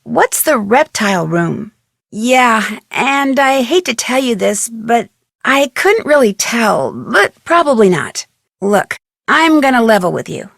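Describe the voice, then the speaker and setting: A young American woman playing an inquisitive, plucky amateur detective in an adventure game, speaking earnestly and expressively with clear enunciation. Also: fairly high pitch, sing-song, slow pace, a bit bright, no echo, studio quality, full character voice. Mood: earnest, probing. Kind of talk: scripted adventure game voice acting.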